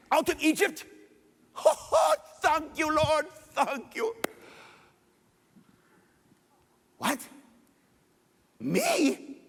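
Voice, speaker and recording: A man's voice: extremely high pitch, fairly bright tone, in a large hall, voice pushed up, heavily acted. Man doing a stammering Moses with a Mexican accent. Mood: pleading, excited. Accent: Mexican Spanish. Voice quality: speech impediment, stammering.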